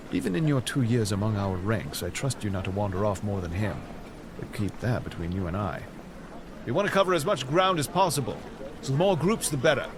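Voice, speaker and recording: An actor in an audio drama playing a noble, commanding medieval king with a regal, measured manner. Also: mid-range voice, noisy background, natural voice. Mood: genial, brisk.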